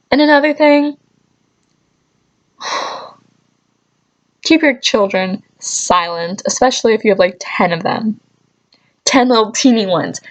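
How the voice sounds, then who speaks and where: A woman tells stories in a casual, comedic vlog style, taking her time between phrases. She jokes and mock-scolds with dry asides in an informal way. The recording sounds a little dull and lo-fi. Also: mid-range voice. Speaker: vlogger telling work stories. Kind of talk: casual comedic storytelling to camera.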